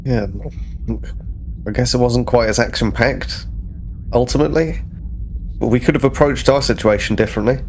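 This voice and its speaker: A man's voice: medium pitch, moderate pace, pauses often, a little mellow, slight background noise, rough recording. A man playing in a tabletop roleplaying game, speaking casually with a hesitant, stammering delivery.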